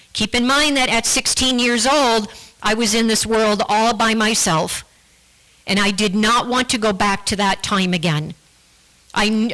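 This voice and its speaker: Church testimony, woman, bright and a bit high, earnest, stops and restarts often. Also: rough recording. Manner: earnest, with frequent restarts and repeated words. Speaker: guest speaker giving a testimony.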